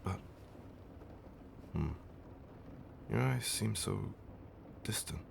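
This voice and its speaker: A man playing a centuries-old vampire husband in an intimate comfort roleplay, tender and theatrically fussy, with genteel, old-fashioned wording and dramatic pauses. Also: lowish voice, animated, vocal fry, background noise, exaggerated voice. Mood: playful affection. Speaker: audio roleplay performer.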